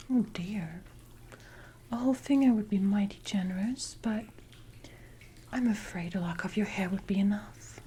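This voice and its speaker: Siren, female performer: haughty sea predator for ASMR roleplay, soft dark whisper, unhurried and condescending, dripping water behind. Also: medium pitch, natural voice. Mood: amused, smug. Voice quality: breathy, mostly unvoiced whisper. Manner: slow, deliberate, condescending, archaic phrasing. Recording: noisy ambience with water drips.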